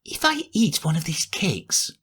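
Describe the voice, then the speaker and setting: Guy reading it doing a prim little girl thinking out loud, voice bouncing up and down, storybook style. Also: on the high side, wildly swooping pitch, a little slow, slightly rough, voice pushed up, full character voice. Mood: musing. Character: curious, sensible young girl talking to herself.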